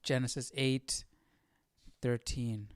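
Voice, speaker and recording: A man hosting a live study stream in casual chat, reading aloud and thinking out loud with informal, slangy questions. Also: medium pitch, fairly even intonation, slow, clear, resonant tone, no echo.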